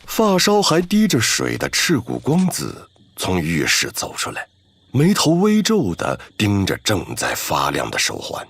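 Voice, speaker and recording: A man delivering scripted dramatic narration of an animated story. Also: lowish voice, unhurried, vocal fry, slightly rough, no echo.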